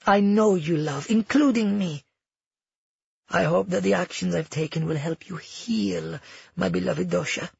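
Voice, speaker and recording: An elderly royal matriarch played by a male narrator, high in pitch and husky at the edges. She is cold and manipulative, wrapping calm threats in measured sweetness, in a storybook reading. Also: no room sound, dull audio, heavily acted. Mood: falsely tender. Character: Neela, cold, manipulative elderly royal grandmother, falsely tender.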